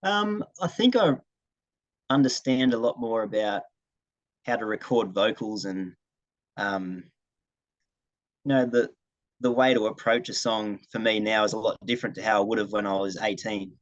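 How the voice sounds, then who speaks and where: A man's voice: fairly low pitch, normal speed, long pauses, dry recording, highs cut off. A young Australian man, a singer-songwriter, answering interview questions in a casual way on a video call. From regional New South Wales, Australia. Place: video call, dry room.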